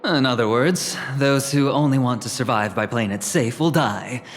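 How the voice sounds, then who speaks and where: A man's voice: medium pitch, slight background noise, close to an everyday voice. An actor playing a bold, theatrical gambler in a video game, suave and teasing as he draws out his words. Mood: bold, relishing. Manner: suave, teasing, drawn-out delivery. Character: bold, theatrical gambler man, amused by risk. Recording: long reverb, music underneath.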